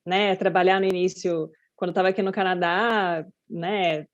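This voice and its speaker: A woman's voice: on the low side, fairly even intonation, normal speed. A Brazilian woman hosting an interview, asking long, chatty questions. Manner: chatty, rambling questions with frequent "né". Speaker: podcast host. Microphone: video call. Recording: slightly dull lo-fi audio.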